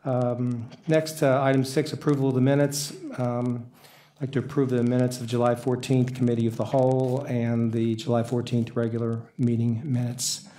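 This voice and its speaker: Older american guy running a council meeting, pretty flat delivery, echoey chamber. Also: medium pitch, moderate pace. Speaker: council president chairing a meeting. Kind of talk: formal meeting procedure. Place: council meeting room, indoors.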